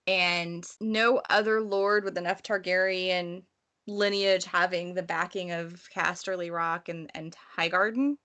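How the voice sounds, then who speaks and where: A woman's voice: lowish voice, steady tone, measured pace, sharp tone. A woman co-hosting a casual, analytical book discussion in a wry, irreverent way. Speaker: podcast co-host discussing a novel.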